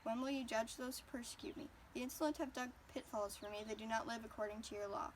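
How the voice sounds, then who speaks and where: A woman's voice: fairly high pitch, fairly even intonation, fast, slight background noise, rough recording. A woman reciting verses of scripture aloud. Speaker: reader.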